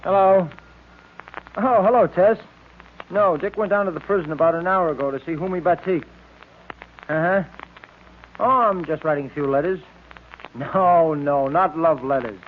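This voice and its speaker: A man's voice: on the high side, moderate pace, long pauses, close to an everyday voice. A man playing a plain-spoken, genial detective sidekick in old radio drama style. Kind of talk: 1940s radio acting. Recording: tinny noisy old radio recording.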